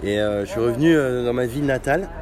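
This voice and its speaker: A middle-aged man talks slowly and hesitantly, with plenty of pauses and fillers, as he answers questions about his bar. He is recorded outdoors on a city street, with clear traffic noise behind him. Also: mid-range voice. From France. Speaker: bar owner. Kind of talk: casual interview.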